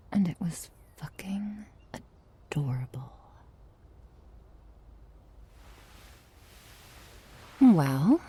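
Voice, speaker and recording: Shark girl mistress played by a woman for ASMR roleplay: low-ish, breathy, tender, protective, commanding. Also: a little mellow, heavily acted. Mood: playful, teasing. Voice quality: breathy, often dropping to a whisper. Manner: slow, teasing, tender and commanding. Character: affectionate, protective, dominant shark girl mistress with a troubled past. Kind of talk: intimate ASMR roleplay to the listener.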